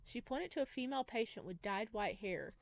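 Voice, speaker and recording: A woman's voice: on the high side, animated, brisk, creaky, no room sound. Woman casually reading game text, very dry sound. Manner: playful, giggly asides. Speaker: gaming video creator reading and playing a visual novel.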